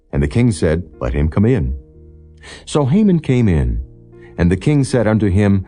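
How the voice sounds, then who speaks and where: A man reading scripture as a solemn, formal audiobook narrator, in a measured and non-dramatized style. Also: deep voice, warm tone. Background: background music.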